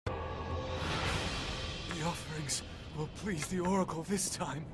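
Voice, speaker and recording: An actor playing a desperate, fearful male slave in a video game, pleading and anxious, with halting speech. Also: fairly high pitch, lightly acted. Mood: anxious muttering. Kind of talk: video game cutscene acting. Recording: clear music behind the voice.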